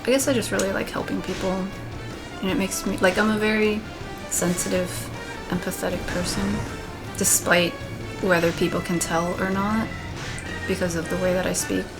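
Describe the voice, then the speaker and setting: A young woman with a monotone voice, talking in a candid, rambling way while playing a video game. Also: mid-range voice, moderate pace, long pauses. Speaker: let's play gamer. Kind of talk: casual commentary while gaming. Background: game music.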